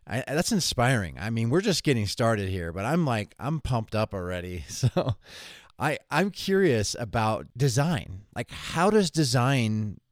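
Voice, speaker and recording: A man's voice: medium pitch, big pitch swings, measured pace, no echo, clean studio sound. A man hosting an interview, reacting with enthusiasm and asking curious questions. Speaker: interview host. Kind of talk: casual interview.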